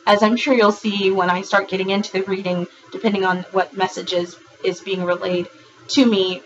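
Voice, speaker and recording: A woman with a hoarse, raspy voice from a recent virus, giving a monthly tarot reading in a rambling way, with frequent ums and restarts. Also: medium pitch, level tone, normal speed, lo-fi sound, slight background noise. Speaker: tarot reader.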